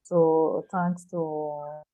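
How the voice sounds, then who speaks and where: A woman's voice: deep voice, little pitch movement, drawn-out words, dark tone. A woman from Cameroon, a native French speaker with a French-speaking Cameroonian accent, speaking carefully and politely as a workshop participant. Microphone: video call. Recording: phone-like video call audio.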